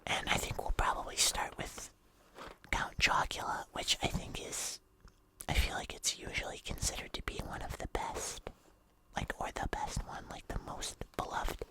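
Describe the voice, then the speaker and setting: An ASMR host chatting casually to viewers in a soft, unhurried whisper with drawn-out phrases. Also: low-quality recording. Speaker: host of an ASMR video channel.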